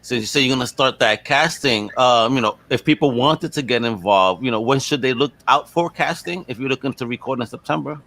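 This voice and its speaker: A man's voice: mid-range voice, brisk, few pauses, sharp tone, dry recording. A man hosting a casual podcast interview in an enthusiastic, slangy way. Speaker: podcast host.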